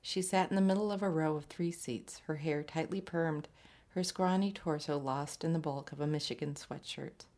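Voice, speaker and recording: Woman with a low voice reading a short story, scripted narration. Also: fairly even intonation, normal speed, darkish tone.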